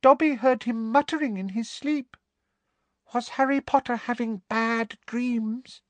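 A man voicing a small, eager and humble male house elf with a squeaky voice, in a storybook reading. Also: unhurried, no room sound. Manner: eager, humble, speaks of himself in third person.

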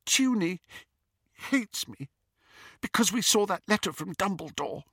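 A young girl pleads with her sister, soft and earnest, close to tears. A man voices her, pitched well above his own voice in a storybook reading. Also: animated, measured pace, husky, no room sound, heavily acted. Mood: hurt.

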